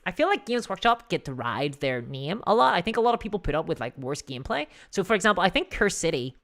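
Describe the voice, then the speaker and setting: A livestream host with a hoarse voice, chatting casually and self-deprecatingly about tabletop games and answering viewers. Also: mid-range voice, big pitch swings, fast talker, a bit bright. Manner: giggly, laughs often while talking.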